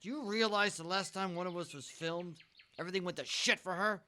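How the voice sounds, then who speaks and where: A man playing an irate, foul-mouthed Bigfoot father who despises humans, gruff and indignant in a comedic radio play. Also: high-pitched, brisk, pushed and bright. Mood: outraged. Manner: gruff grumbling that erupts into indignant ranting.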